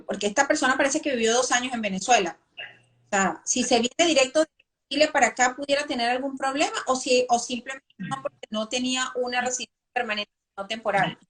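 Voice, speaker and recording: A woman's voice: high-pitched, a little fast, a bit bright, low-quality recording. A woman hosting a live interview, asking long, winding questions with many fillers. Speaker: host.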